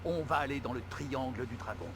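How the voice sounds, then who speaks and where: A man's voice: mid-range voice, fairly quick, close to an everyday voice. An actor playing a firm, authoritative male ship captain in video game dialogue. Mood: commanding. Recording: clear vehicle-like background noise.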